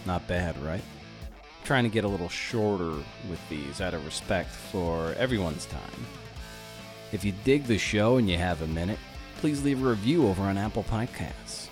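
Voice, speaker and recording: Lower-pitched male podcast host, music behind. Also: lively intonation, normal speed. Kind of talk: casual podcast interview and sign-off.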